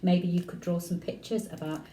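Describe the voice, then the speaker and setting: A woman's voice: on the low side, level tone, normal speed, dark tone, slight background noise. A woman reading a storybook aloud expressively, like a primary school teacher talking directly to young listeners. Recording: roomy echo, distant microphone.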